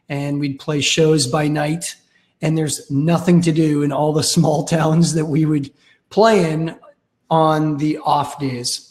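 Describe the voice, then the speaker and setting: A Canadian man in his thirties with a raspy voice from a cold, telling his story candidly and wryly as a podcast guest. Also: on the high side, darkish tone. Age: 30s. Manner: candid, wry. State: has a cold. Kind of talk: casual interview storytelling.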